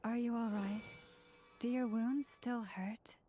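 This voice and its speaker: An actress playing a gentle, composed, caring young woman in a video game, with faint noise behind. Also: a little high, steady tone, takes it slowly. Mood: concerned. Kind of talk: video game dialogue acting.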